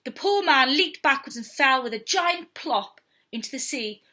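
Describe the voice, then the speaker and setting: A woman reading a story aloud to young children in an expressive, theatrical storytelling style. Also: high-pitched, normal speed. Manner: theatrical, with whispers and sound effects.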